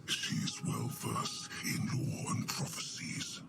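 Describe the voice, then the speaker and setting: An actor playing a menacing armored elven witch king in a game cutscene, with a gravelly rasp and a commanding, ominous manner. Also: takes it slowly, lightly acted. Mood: cold command. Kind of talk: dark fantasy game cutscene acting. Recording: dramatic music behind the voice. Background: music.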